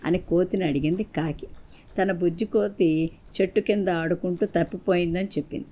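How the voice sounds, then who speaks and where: A woman's voice: on the low side, steady tone, normal speed, dark tone, muffled recording. A woman narrating a scripted moral folktale for children as a storyteller.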